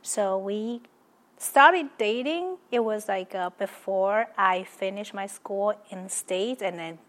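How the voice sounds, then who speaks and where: A woman's voice: medium pitch, takes it slowly, a bit bright. A woman from Taiwan with a Taiwanese accent, answering interview questions cheerfully in simple English. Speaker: guest.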